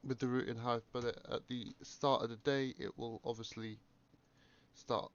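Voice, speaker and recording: A man with a croaky voice from a cough, calmly commentating a game and thinking aloud with many pauses. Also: medium pitch, lo-fi sound. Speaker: gaming video creator. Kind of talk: relaxed gameplay commentary.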